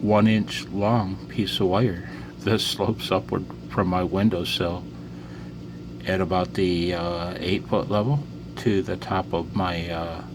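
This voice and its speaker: Very deep-voiced man, slow and casual. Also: fairly even intonation, noisy background. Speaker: amateur radio hobbyist demonstrating antennas. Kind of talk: casual unscripted demonstration commentary. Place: indoors at a home radio station.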